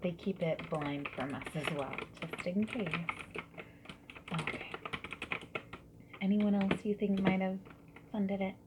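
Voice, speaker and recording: A woman doing a soft-spoken ASMR roleplay as a survey interviewer, gently and politely asking questions in a breathy voice that often drops into whispers. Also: medium pitch, fairly even intonation, slow, pauses often. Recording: lo-fi muffled audio with noise. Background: mechanical keyboard typing.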